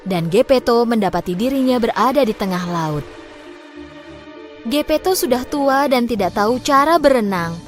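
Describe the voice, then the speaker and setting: A woman tells a children's fairy tale as a storyteller. Her voice is fairly high and very animated, with clearly phrased, expressive reading over light background music.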